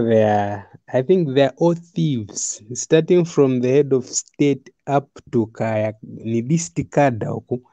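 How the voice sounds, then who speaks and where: A man's voice: medium pitch, warm tone, dry recording. A man calling in to a live show with political opinions, mixing English and a local language. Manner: mixes English and local language, repeats himself. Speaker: caller. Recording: dull lo-fi call audio.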